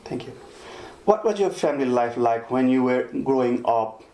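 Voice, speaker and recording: A man's voice: mid-range voice, lively intonation, normal speed, mellow. A man, asking formal, prepared questions as an interviewer. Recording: echoey room, faint background noise.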